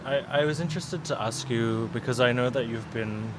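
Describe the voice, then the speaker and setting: A man's voice: mid-range voice, moderate pace. Male podcast host with noisy background. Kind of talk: casual interview chat. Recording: clear traffic and ambient noise behind.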